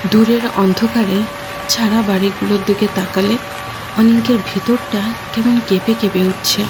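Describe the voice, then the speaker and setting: Storyteller narrating over loud music. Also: mid-range voice, low-quality recording. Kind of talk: scripted horror story narration. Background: loud background music.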